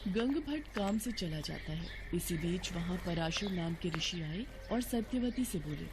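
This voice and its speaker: A woman's voice: lowish voice, lively intonation, measured pace, background noise. A woman narrating a scripted devotional story as a storyteller. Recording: music bed behind voice.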